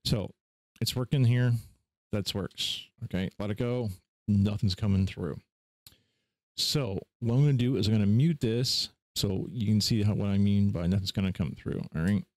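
Low-voiced man giving a casual tutorial. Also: moderate pace, darkish tone, no room sound. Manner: informal, offhand. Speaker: tutorial host. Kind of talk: casual screen-share software tutorial.

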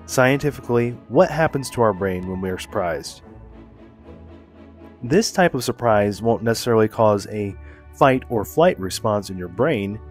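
A man with a cold that has deepened his voice, narrating a deadpan, mock-serious theory video. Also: normal speed, faint music behind.

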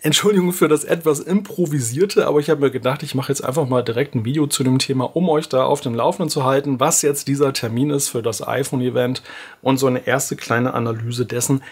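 A man's voice: medium pitch, fairly quick, a little mellow, breathy edge. A man in his forties hosting a casual tech vlog from a home office, chatty and thinking aloud with fillers. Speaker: tech video host. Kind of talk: casual talking-head vlog. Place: home office with desk and monitor. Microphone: camera framed at close head-and-shoulders.

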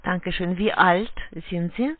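Slow, halting non-native telesales agent. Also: medium pitch. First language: not German. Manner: halting, formal, with awkward non-native phrasing. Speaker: telephone sales agent. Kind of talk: scripted sales pitch over the phone. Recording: muffled, band-limited phone line.

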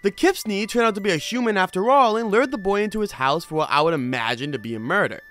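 A man's voice: sits high, expressive, quick pace, fairly bright tone, soft background music. A man hosting a video review, giving scripted commentary in an informal storytelling manner. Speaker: host of a horror video review channel. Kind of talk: scripted commentary with casual asides.

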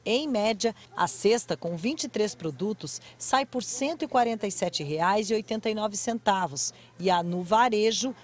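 A woman, narrating a news report in a measured way, reading out prices and percentages. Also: medium pitch, animated. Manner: measured, figure-heavy narration. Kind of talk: scripted news voice-over.

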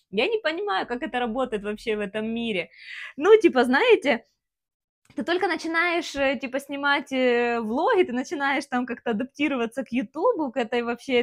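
A young Ukrainian woman in her twenties, a vlogger, talking frankly to the camera in her kitchen on a lapel mic, with a Ukrainian accent and lots of fillers. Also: a little high. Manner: frank, ranting and gesturing, many fillers. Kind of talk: casual talk to camera. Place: home kitchen, indoors.

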